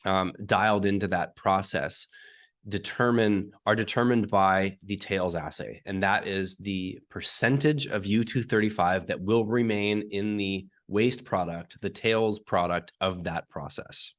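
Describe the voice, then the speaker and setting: A man with a hoarse, raspy voice from being sick, explaining technical detail step by step as an interview guest and often clearing his throat. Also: sits low, fairly even intonation, measured pace, a bit bright. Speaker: uranium market analyst guest.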